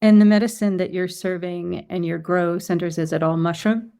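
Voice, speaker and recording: A woman's voice: on the low side, fairly even intonation, normal speed, dark tone, clear, resonant tone. A woman hosting an interview podcast who greets her guest, asks questions and affirms his answers. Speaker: podcast host.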